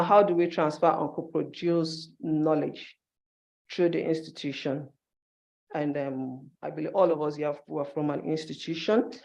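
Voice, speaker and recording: A man's voice: fairly high pitch, vocal fry, a little mellow, muffled recording. A man giving an instructive conference presentation in short, emphatic phrases, as a university staff member.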